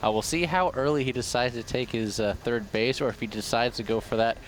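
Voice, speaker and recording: A man's voice: mid-range voice, a little fast, a little hiss or hum. A man commentating a video game match live, enthusiastic and bantering with his co-caster. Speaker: esports caster.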